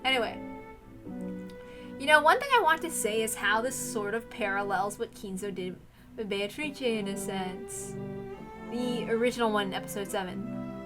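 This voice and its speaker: A woman's voice: medium pitch, moderate pace, pauses often, over music. A woman streaming a visual novel read-aloud, moving between narration and informal, rambling asides where she interrupts herself. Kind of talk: casual chat and story read-aloud.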